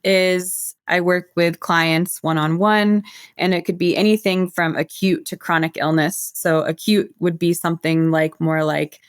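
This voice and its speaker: Low-voiced woman herbalist explaining. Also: steady tone, a little slow, no room sound, clean studio sound. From United States. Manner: earnest, flowing explanation with many "like" fillers. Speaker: herbalist guest. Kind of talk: casual podcast interview.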